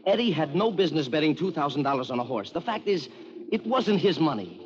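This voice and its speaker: An American actor playing an anxious, sarcastic clerk in 1940s radio style, fretful and full of wisecracks. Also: male voice, a little high, sing-song, brisk, crowd noise. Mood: pleading. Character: anxious, sarcastic male clerk, a gambler's exasperated pal. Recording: muffled old radio recording.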